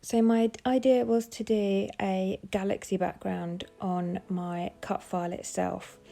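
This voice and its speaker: A woman with a cold, sounding bunged up, calmly explaining each step of her craft project in a casual tutorial voice-over. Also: medium pitch, creaky. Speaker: papercraft designer.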